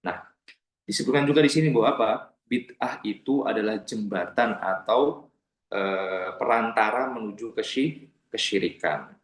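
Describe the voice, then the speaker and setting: A man with a fairly low voice leads an online religious class. He talks through each point patiently, repeats phrases for emphasis and recites Arabic passages. The sound is roomy and echoey, as if from a bare room. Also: normal speed. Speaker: Islamic studies teacher.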